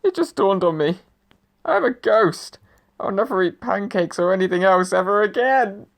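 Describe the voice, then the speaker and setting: A man's voice: high voice, expressive, moderate pace, creaky. A man playing the pompous ghost of a murdered novelist, dithering and self-important, in an audio drama. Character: pompous dead male novelist, now a ghost.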